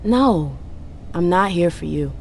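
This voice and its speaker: A woman's voice: lowish voice, expressive, takes it slowly, a little mellow, slight background noise. An actress playing a determined, persuasive female vampire in a video game cutscene, assertive and blunt. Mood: cool, measured.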